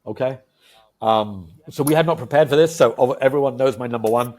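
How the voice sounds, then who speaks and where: A man in his fifties co-hosting a podcast, talking casually and with enthusiasm, telling stories full of fillers and sudden bursts of excitement. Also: medium pitch, a little fast. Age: 50s. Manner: enthusiastic, animated storyteller with many fillers. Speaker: podcast co-host.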